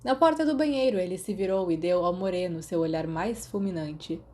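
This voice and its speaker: A woman's voice: fairly low pitch, moderate pace, mellow. A woman with a Brazilian accent reading scripted story narration as an audiobook narrator.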